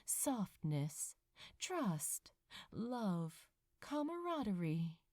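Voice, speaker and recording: A woman reading a romantic story aloud as a narrator, with expressive, unhurried, deliberate phrasing. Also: mid-range voice, no echo, clean studio sound. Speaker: podfic narrator.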